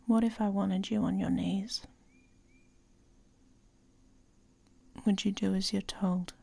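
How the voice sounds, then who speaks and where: A woman playing a dominant, teasing but protective female werewolf in intimate ASMR roleplay, in a breathy, husky voice that often drops to a whisper. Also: mid-range voice, fairly even intonation, mellow. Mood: teasing, commanding. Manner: slow, teasing, commanding.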